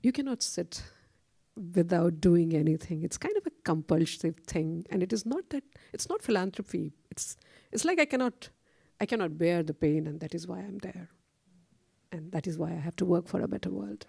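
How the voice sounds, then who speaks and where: Woman panelist, gravelly voice, warm and mellow tone, earnest, reflective. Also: fairly low pitch, lively intonation, normal speed, no echo, studio quality. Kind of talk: panel discussion answer.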